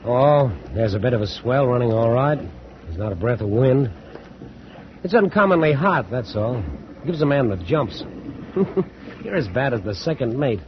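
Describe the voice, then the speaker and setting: An actor playing a nervy, outspoken young ship's first mate in an old-time radio drama. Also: male voice, medium pitch, expressive, normal speed, close to an everyday voice. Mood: casual. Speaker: actor and narrator in a radio play. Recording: muffled, noisy vintage radio recording.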